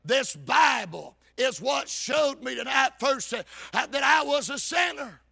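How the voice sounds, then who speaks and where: A man's voice: very high voice, lively intonation, a little slow, gravelly, bright, forceful tone, breathy edge, raised voice. A man preaching a fervent evangelical sermon in a church, with an emphatic, declamatory delivery in short bursts. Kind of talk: fervent evangelical sermon with scripture reading and prayer. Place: church service.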